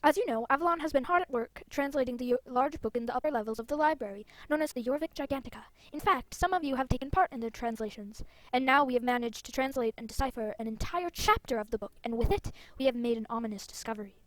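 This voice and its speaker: A woman playing a concerned leader of fantasy riders in a game, measured and formal with an ominous manner. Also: high-pitched, expressive, no echo, poor audio. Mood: grave, concerned.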